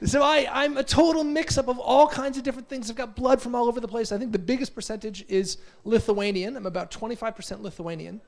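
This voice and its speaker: An American man in his forties giving an energetic motivational conference talk, telling stories and often repeating words. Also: very high voice, fast talker, hardly any pauses. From United States. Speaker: conference speaker. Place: conference hall.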